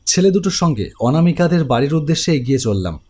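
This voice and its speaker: A protective elder brother narrating his own story. His slightly high voice moves in lively rises and falls, brisk and teasing with his sister and reassuring to others. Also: breathy edge. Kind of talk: animated ghost story narration and dialogue.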